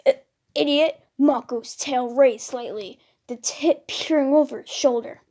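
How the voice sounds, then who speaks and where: A woman with a very high voice reads a story aloud. She narrates it as comedic fan fiction, with a dramatic storytelling delivery. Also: a little slow, mellow. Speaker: fan fiction writer and reader.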